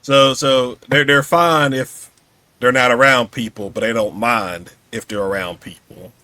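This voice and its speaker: Guy doing a casual podcast interview, bright forward voice, really dry close sound. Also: mid-range voice, fairly even intonation, unhurried, no echo. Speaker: podcast host.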